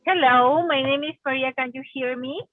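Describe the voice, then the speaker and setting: A woman from Peru with a Peruvian Spanish accent calls in to a live stream. Her voice is high and hesitant, and she pauses between phrases. It comes through a narrow, phone-like line with a dry sound. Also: dry recording. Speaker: caller, first-time player. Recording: tinny phone-like call line.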